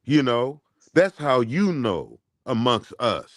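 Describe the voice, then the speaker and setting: A man's voice: medium pitch, sing-song, no room sound, studio quality. A man hosting a morning talk show, chatting casually in a preachy, emphatic way. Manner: preachy, drawn-out emphatic delivery.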